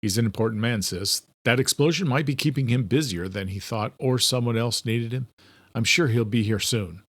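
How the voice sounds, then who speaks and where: A man voicing a wary, protective brother with a casual, low-key manner in an audio thriller. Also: moderate pace, fairly bright tone, dry recording, close to an everyday voice. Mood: casual, reassuring.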